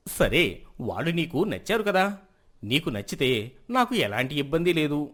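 A man acts a rich middle-aged merchant in a moral tale, proud and concerned with status. His voice sits fairly high and swings widely as he argues and concedes. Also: moderate pace. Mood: agreeable. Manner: animated, self-justifying, conceding. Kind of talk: moral story dialogue.

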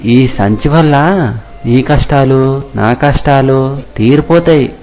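A man's voice: mid-range voice, takes it slowly, warm tone, soft background music. Man playing a kind, earnest young fisherman. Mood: caring. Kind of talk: acted moral story dialogue.

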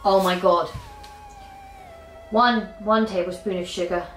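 A woman's voice: medium pitch, fairly even intonation, a little slow. A young British woman chatting casually through a home cooking vlog in her kitchen, rambling and poking fun at her own mistakes. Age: young adult. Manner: self-mocking, rambling, chatty. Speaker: cooking vlogger. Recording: echoey kitchen with faint music.

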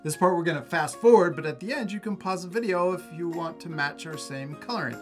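A man's voice: on the high side, expressive, soft background music. Encouraging man teaching kids to draw. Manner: upbeat, encouraging, explains slowly. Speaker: drawing teacher. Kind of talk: step-by-step kids' drawing lesson. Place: art studio.

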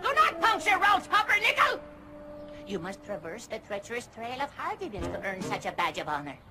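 A man's voice: very high voice, wildly swooping pitch, a little fast, over loud music, heavily acted. A man voicing a proud cartoon boy with a thick comic foreign accent, pompous and declamatory in a video game. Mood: pompous, challenging. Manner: pompous, declamatory, quaint phrasing. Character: proud, boastful foreign immigrant farm boy.

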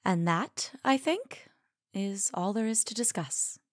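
An actress playing an imperious suffrage leader in a radio drama, formal, clipped and commanding. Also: female voice, mid-range voice, animated, takes it slowly, noisy background. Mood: cool, dismissive. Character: imperious, brisk-minded woman suffrage leader.

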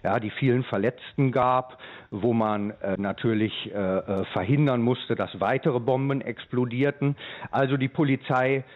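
A man giving a live news interview as an official and eyewitness, speaking haltingly with frequent pauses. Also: mid-range voice, fairly even intonation. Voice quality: hesitant delivery, frequent pauses. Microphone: telephone. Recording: tinny narrow-band telephone line.

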